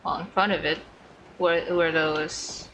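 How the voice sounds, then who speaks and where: Slow-paced, chatty young woman streamer. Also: deep voice, flat delivery, a bit bright, slight background noise, low-quality recording. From Philippines. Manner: gushing, chatty. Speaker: game streamer. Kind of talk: casual livestream reaction commentary. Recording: game music behind the voice.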